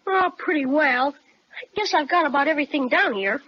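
A woman's voice: high-pitched, expressive, moderate pace. A woman playing a boyish, boastful, enthusiastic little boy in an old-time radio children's serial, exclaiming in gee-whiz fashion. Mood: teasing, boastful. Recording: dull old radio recording.

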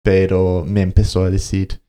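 A man's voice: deep voice, monotone, moderate pace, dark tone, smooth. A man co-hosting a podcast, telling a story in casual conversation, hesitating and searching for words as he goes. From Colombia. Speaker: podcast co-host.

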